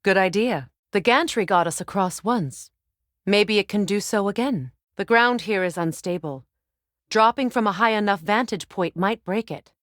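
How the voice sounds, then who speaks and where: Woman voicing a stern warrior goddess, middle-aged, mid-pitched, measured formal diction, dramatic game acting, dry close sound. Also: no room sound, natural voice. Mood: composed, observant.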